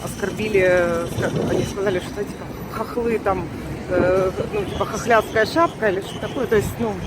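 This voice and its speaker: Woman passerby, hesitant, fillers, mid pitch, loud street noise. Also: fairly even intonation, normal speed.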